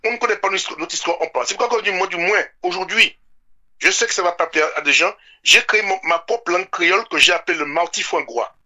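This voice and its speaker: A man's voice: high voice, fast talker, bright, forceful tone, highs cut off. A man with a French Caribbean accent in an impassioned, informal political discussion, repeating words emphatically. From French Antilles. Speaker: radio show speaker.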